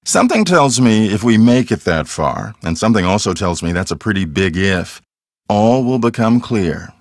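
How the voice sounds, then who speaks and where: A man's voice: on the low side, expressive, normal speed, no room sound, studio quality, lightly acted. An actor playing an earnest, self-assured male ghost hunter in a mystery video game, explaining things in a matter-of-fact, conversational way. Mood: wry, measured. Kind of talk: acted video game dialogue.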